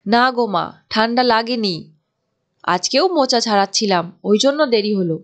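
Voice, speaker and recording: A woman's voice: on the high side, expressive, normal speed, close to an everyday voice. A woman playing a sincere, dutiful young married daughter in a cartoon story, speaking in an earnest, reassuring way. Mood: cheerful, reassuring. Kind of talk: Bengali cartoon story acting.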